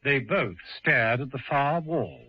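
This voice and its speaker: A man narrating a radio drama in a measured, storytelling manner. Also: medium pitch, very animated, slightly rough, no room sound. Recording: dull old radio broadcast.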